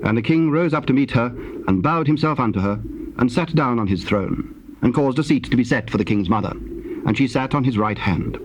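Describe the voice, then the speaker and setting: A man narrating dramatized scripture in a formal, solemn and measured style. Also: medium pitch, animated. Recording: noisy, hissy old cassette tape.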